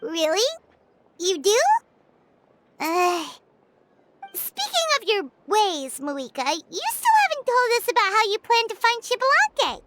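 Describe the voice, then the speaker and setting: A woman voicing a tiny, childlike, excitable fairy companion in a video game, with a squeaky, perky delivery. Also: measured pace, pauses often, heavy background noise, heavily acted. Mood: curious, chatty. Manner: refers to self in third person, perky. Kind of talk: video game character voice acting. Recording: studio voice over game music.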